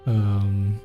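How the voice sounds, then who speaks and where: Guy from montreal live streaming, scattered chatty vibe, soft music faint underneath. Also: lowish voice, narrow, tinny audio. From Montreal, Canada. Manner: rambling, scattered, reads chat aloud. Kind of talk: casual live-stream chat with viewers.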